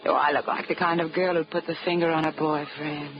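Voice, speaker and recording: Sultry, sly nightclub dame. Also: female voice, deep voice, flat delivery, fairly quick, background noise. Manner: sultry, teasing, knowing. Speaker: radio drama actress. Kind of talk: 1940s radio acting. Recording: muffled 1940s radio broadcast.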